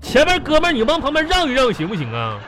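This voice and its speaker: 一位男演员用东北口音在喜剧广播剧里演一个爱吹牛、抠门又话多的乡下菜贩，说话热络、咋咋呼呼。. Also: male voice, extremely high pitch, fast talker, faint music behind, full character voice. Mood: teasing, chatty. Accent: Northeastern Chinese. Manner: folksy, excitable, repetitive chatter. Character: boastful, thrifty, chatty small-time rustic vegetable trader. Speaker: radio comedy host. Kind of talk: comedy radio drama.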